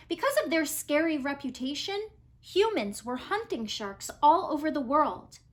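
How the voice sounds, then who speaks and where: High, animated woman reading a storybook. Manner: expressive storytelling with dramatic pauses and emphasis. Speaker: read-aloud storybook reader. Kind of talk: scripted children's picture-book narration.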